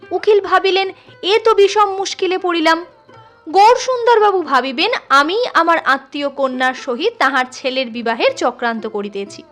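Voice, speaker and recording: A woman reading a classic short story as a storyteller, in an expressive, measured and dramatic scripted narration. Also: high voice. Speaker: storyteller reading literary fiction.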